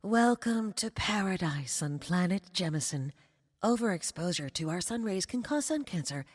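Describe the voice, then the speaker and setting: A woman's voice: on the low side, animated, moderate pace, slightly rough. A woman as a reverberant resort announcer. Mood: blandly welcoming. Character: polished female resort announcer and bartender, blandly welcoming. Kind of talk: resort announcement in audio drama.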